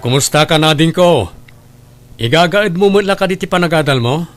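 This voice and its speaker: A man's voice: on the high side, lively intonation, moderate pace, faint music behind, close to an everyday voice. A man with an Ilocano accent playing a caring, hardworking young driver in an audio drama. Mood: reassuring. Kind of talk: Ilocano radio-style drama acting.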